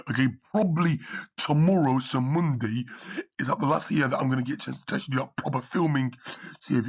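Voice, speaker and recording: A man working through a maths exam question as a tutor, his voice croaky from partly losing it, peppering his talk with "okay" and "yeah". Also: mid-range voice, highs cut off. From United Kingdom. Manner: repeats "okay" and "yeah" often. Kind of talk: exam revision walkthrough.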